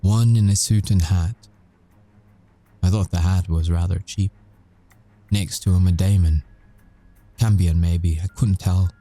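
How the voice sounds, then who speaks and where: Casting: male actor for cocky, haunted werewolf soldier in audio roleplay. Deep, very soft-toned voice, wry measured storytelling. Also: fairly even intonation. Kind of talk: audio roleplay monologue, ASMR.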